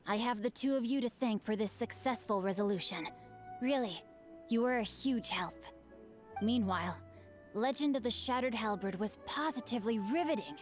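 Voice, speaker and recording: A polished young nobleman from a game speaks in florid, courtly phrases with a light, playful edge, voiced by a man. His voice sits fairly high, with soft music underneath. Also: moderate pace, lightly acted. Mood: courteous. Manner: florid, formal, playful diction. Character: witty, refined young nobleman swordsman.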